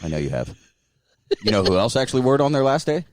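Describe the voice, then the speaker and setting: Loud, teasing podcast co-host man. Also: medium pitch, big pitch swings, fast talker, no room sound, clean studio sound. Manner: loud, teasing, swears freely. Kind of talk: casual banter. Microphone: studio mic with headphones.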